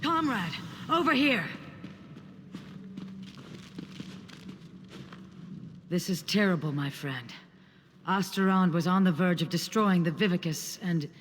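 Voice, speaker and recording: An actor playing an earnest guild comrade in a fantasy video game, grave and urgent, with formal and deliberate phrasing. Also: male voice, high-pitched. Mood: alarmed, grave. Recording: long reverb, faint background noise.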